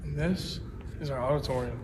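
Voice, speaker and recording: A man's voice: mid-range voice, fairly quick. A man giving a walking tour, plainly announcing each room one after another. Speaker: tour guide. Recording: loud background noise, vehicle.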